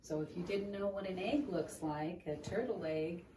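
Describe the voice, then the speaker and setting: A woman reading a picture book aloud to a child and explaining it in a gentle, teacherly way. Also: lowish voice, moderate pace. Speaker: children's storytime reader. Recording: roomy, distant mic with room noise.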